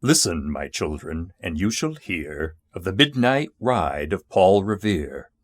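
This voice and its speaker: A man reciting a narrative poem in a measured, theatrical storytelling manner. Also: low-pitched, big pitch swings. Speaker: poetry reader. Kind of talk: dramatic poetry recitation.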